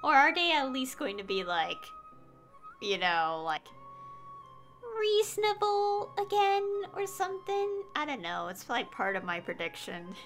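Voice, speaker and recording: A woman chats casually on a game stream. Her voice is high, bright and very animated, rambling and excitable, with faint game music behind. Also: measured pace. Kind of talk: casual live stream commentary.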